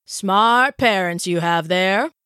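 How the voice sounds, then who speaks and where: A woman's voice: mid-range voice, takes it slowly, bright, forceful tone, clear, resonant tone, dry recording. A skeptical frontier physician in a storybook, voiced by a woman. He speaks in a dry, pointed and proper way, with a close, clean sound. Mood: wry.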